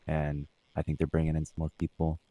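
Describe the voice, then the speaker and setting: Male podcast co-host. Low-pitched, informal and slangy, recorded very dry. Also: completely flat delivery, fairly quick, dry recording, phone-quality sound. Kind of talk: casual, slangy podcast chat.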